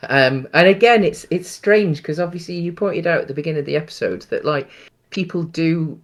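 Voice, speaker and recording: A man's voice: on the high side, fairly quick. A man co-hosting a casual film discussion, with a tentative, rambling way of talking. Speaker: podcast co-host.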